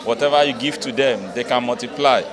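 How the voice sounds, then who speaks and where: A man's voice: medium pitch, brisk. A jovial man with a Nigerian accent in an unscripted event interview, repeating himself for emphasis. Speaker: audience interviewee. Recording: busy crowd behind voice.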